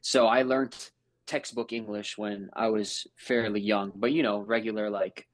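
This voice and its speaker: A man in his thirties from Brazil, raised in New York, a native Portuguese speaker chatting in a relaxed, rambling way as a guest on a podcast. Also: fairly low pitch, a little slow. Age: 30s. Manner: laid-back, rambling, lots of fillers and "bro", "man". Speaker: guest, house flipper. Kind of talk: casual podcast chat.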